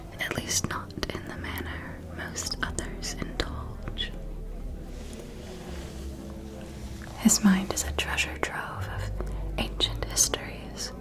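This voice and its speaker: A woman playing a refined, shrewd vampire noble who gossips in an elegant, deliberate manner in a whispered ASMR roleplay. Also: mid-range voice. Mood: intrigued, wry. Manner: slow, deliberate, elegant, hushed insinuation.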